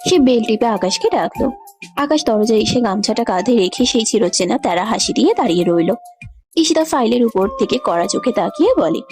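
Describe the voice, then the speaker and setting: A woman's voice: on the high side, animated, fairly quick, darkish tone. A woman reading scripted narration for a romantic audio story. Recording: background music under the voice.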